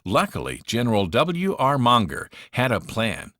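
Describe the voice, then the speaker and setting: A man narrating a children's read-along storybook in an expressive, dramatic manner. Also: medium pitch, moderate pace, no echo, clean studio sound.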